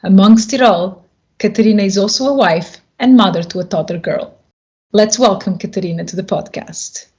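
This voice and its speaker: Measured woman host, dull lo-fi sound. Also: mid-range voice, warm tone. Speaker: podcast host. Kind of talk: scripted intro then interview questions. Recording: dull lo-fi sound with faint music.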